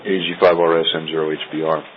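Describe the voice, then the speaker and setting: A man chatting over a two-way radio link, talking in a loose, halting way. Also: extremely low pitch, little pitch movement. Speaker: podcast host on a radio link call. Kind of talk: casual two-way conversation. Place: Rome. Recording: tinny internet radio link with faint hiss.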